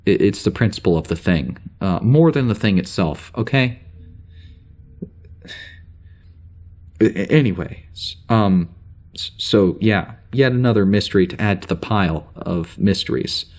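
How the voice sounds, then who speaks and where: A man's voice: on the low side, lively intonation, a little mellow. A man playing a flustered, hesitant spaceship officer. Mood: exasperated. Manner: halting, rambling, full of hesitations and sighs. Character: flustered, amnesiac male spaceship security officer keeping audio logs. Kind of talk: audio drama, informal spoken log entry.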